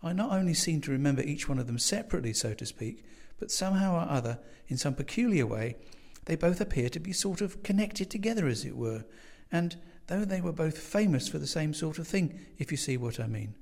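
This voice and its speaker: Earnest, puzzled young male lodger, acted by a man, pitched up. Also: expressive, fairly quick, gravelly, hardly any pauses, darkish tone. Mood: earnest, searching. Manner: hesitant, musing, insistent. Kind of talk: storybook character voice.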